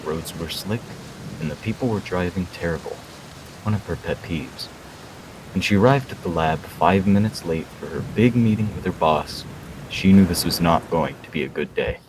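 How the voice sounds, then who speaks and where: A man's voice: low voice, normal speed, noisy background. A man reading scripted narration as the storyteller of a radio play.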